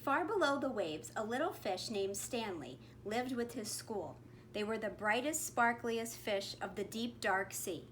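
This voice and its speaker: A woman's voice: on the high side, expressive, measured pace, fairly bright tone. A woman reading a picture book aloud expressively to young children. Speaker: kindergarten principal. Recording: faint background noise.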